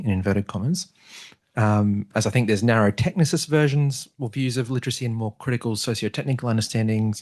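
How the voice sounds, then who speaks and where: A man moderating online questions at a seminar, reading them aloud in a casual way. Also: medium pitch. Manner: casual, reads aloud with fillers. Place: seminar room.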